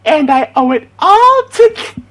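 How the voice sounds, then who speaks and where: A small alien cartoon invader, bombastic and frantic. The voice is shrill and very high, swooping wildly through grand, theatrical declarations. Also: male voice, big pitch swings, measured pace, fairly bright tone, exaggerated voice. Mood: gloating, manic. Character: manic, pompous, shrieking little alien invader villain.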